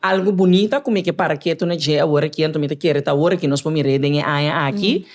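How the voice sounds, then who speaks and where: A speaker with a Curaçao Papiamentu accent, talking haltingly and searching for words in a casual podcast conversation. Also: medium pitch.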